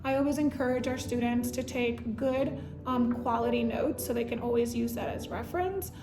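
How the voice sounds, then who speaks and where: A woman from the Dominican Republic who grew up in Puerto Rico, a native Spanish speaker, speaking as a high school Spanish teacher in a documentary interview, earnest and reflective with frequent fillers. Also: normal speed, roomy sound, over music. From Dominican Republic, raised in Puerto Rico.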